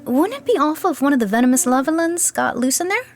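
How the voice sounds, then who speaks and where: An actress playing an exasperated, sardonic young animal keeper in an audio drama, with a snippy, dramatic, grumbling manner. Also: female voice, high-pitched, big pitch swings, quick pace, soft background music. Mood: grumbling, scheming. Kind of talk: audio drama character acting.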